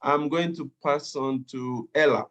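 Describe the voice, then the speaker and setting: A man from Cameroon introduces himself over a video call in a slow, deliberate way. His manner is polite and unhurried. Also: medium pitch, a bit bright, dull audio.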